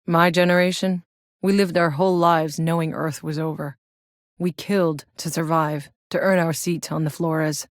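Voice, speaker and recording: A woman's voice: sits low, little pitch movement, normal speed, dry recording, clean studio sound. An actress playing a tough, sardonic, battle-hardened soldier in a sci-fi video game, blunt and wry in her delivery. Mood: wry, weary. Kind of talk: scripted video game character voice lines.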